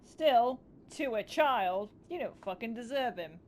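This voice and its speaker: A woman's voice: a little high, animated, a little slow, a little hiss or hum. A woman streaming a game with casual, blunt, sarcastic commentary and swearing, with game music behind her. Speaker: gaming streamer.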